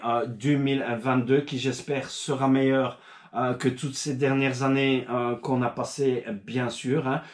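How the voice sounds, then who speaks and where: A man's voice: medium pitch, a little mellow. Rambling man host, echoey room. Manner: rambling, many fillers and restarts. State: recovering from a cold. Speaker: channel host. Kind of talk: casual video talk to subscribers.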